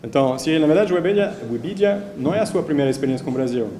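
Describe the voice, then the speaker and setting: A man's voice: fairly high pitch, animated, racing. A man hosting a casual live interview, asking questions conversationally. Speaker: interviewer, event host. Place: indoor event talk before an audience. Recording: echoey room with loud background noise.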